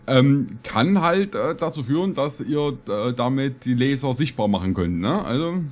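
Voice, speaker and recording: Guy in his 30s doing casual reaction commentary, rambly and jokey, normal pitch. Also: big pitch swings, moderate pace, a little mellow. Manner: rambling, joking. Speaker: reaction video host. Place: small streaming room indoors.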